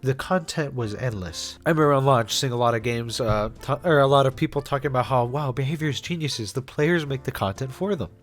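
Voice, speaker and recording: A young man with a congested voice, narrating a scripted video essay on a game in a casual way, with fillers and restarts. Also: mid-range voice. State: congested after being sick. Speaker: gaming YouTuber.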